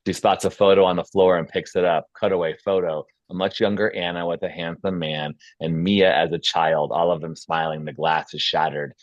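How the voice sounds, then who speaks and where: Male narrator for a screenplay table read: low register, dry close sound, reads action and scene headings. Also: little pitch movement, moderate pace, hardly any pauses, no echo, highs cut off. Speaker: stage directions reader.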